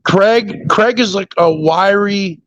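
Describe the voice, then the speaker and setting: A man trading crude, profane jabs in casual banter, loud and mocking, often mimicking others. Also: sits high, takes it slowly, lo-fi sound. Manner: brash, profane, mocking, does mimicking voices.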